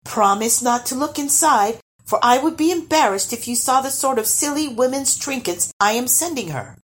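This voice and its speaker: A clever young storybook girl, played by a woman, high-voiced and bold. She coaxes sweetly in a wheedling sing-song that swings widely in pitch. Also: big pitch swings, a little slow. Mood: sweetly coaxing. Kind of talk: storybook character voice.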